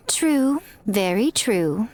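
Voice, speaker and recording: A woman's voice: on the high side, sing-song, drawn-out words, a little hiss or hum, heavily acted. A woman playing a sly, elegant shrine priestess in a video game, with a coy, teasing, theatrical manner.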